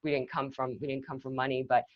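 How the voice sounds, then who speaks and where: Woman guest from Massachusetts, low voice, reflective and casual, slightly dull dry line. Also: flat delivery, quick pace, no echo. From Massachusetts, north of Boston. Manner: thoughtful, peppered with "you know" and restarts. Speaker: guest, marketing executive. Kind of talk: casual conversational interview.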